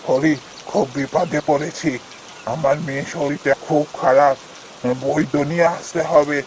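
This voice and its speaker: A man voicing an earnest, kindly village gentleman in a cartoon fairy tale, speaking familiarly like a patron to a junior. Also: fairly high pitch, fairly even intonation, measured pace, slight background noise, poor audio. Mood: worried pleading.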